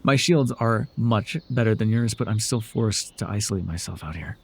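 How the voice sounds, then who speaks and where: A man playing a proud, sardonic telepathic supervillain with hidden tenderness in an ASMR roleplay, theatrical and condescending with a husky voice. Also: fairly low pitch, moderate pace. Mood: teasing, softening. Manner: theatrical, grandiose, flustered, dryly condescending.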